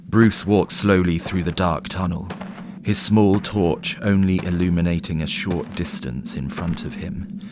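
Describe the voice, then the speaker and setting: Very deep, slow male horror narrator. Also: steady tone. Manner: measured, descriptive storytelling. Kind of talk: scripted horror story narration. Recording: heavy noise behind the voice.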